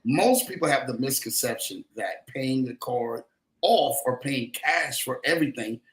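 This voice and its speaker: A man's voice: medium pitch, expressive, unhurried. A man from New Orleans giving motivational podcast talk as a credit coach, emphatic and preacherly, addressing listeners directly. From New Orleans, United States. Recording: roomy, echoing sound.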